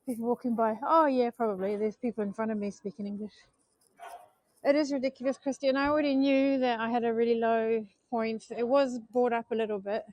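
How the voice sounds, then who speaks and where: A woman's voice: fairly high pitch, steady tone, brisk, darkish tone, dry recording, low-quality recording. A woman with a New Zealand accent chatting to her viewers on a live stream as she walks through city streets, sounding subdued and disappointed. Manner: talks to viewers while walking, subdued and disappointed. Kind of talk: casual live-stream chat while walking. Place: city streets outdoors at night.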